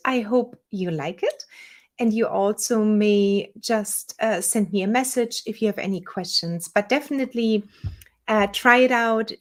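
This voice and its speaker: A woman with a German accent, the owner of a small software company, walking viewers through a software tutorial in a relaxed, chatty way with fillers. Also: on the high side. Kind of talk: screen-share software tutorial.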